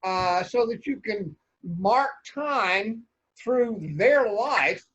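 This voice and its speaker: A man giving casual feedback on a video call, speaking haltingly and repeating words as he goes. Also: high-pitched, expressive, fairly bright tone. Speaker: table read participant giving feedback. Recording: dull video-call audio.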